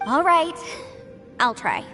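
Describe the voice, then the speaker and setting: A woman voicing an earnest, thoughtful young female legal advisor who speaks in a measured, articulate way. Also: high-pitched, very animated, sharp tone, exaggerated voice. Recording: game audio with music. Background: game music.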